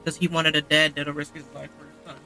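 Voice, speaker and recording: A man's voice: fairly high pitch, flat delivery, fast, sharp tone, faint music behind. A man chatting casually and ramblingly as he streams a game. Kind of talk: casual live stream chat and commentary.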